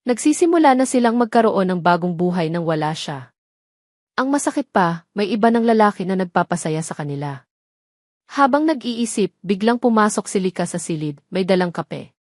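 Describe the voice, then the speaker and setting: A woman's voice: mid-range voice, animated, normal speed, a little mellow, dry recording, clean studio sound. A woman reading a scripted story as the narrator of an audio drama.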